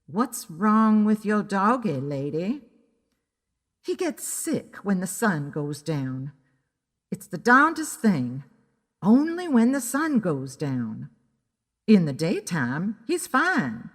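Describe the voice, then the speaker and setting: A woman voicing a flighty, excitable, melodramatic female client in an audio story. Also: medium pitch, slow pace, in a large hall. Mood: plaintive.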